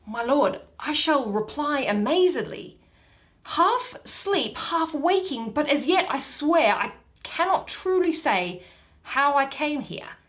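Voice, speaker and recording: A woman's voice: high voice, big pitch swings, fairly bright tone, heavily acted. A woman reading Shakespeare, voicing a dazed, hesitant young Athenian man who is half asleep. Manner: halting, theatrical.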